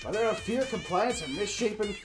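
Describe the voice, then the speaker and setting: A woman voicing an earnest student narrator in a visual novel game, expressive and dramatic. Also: medium pitch, a little fast, over music, close to an everyday voice. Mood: dramatic, solemn. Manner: theatrical, expressive storytelling.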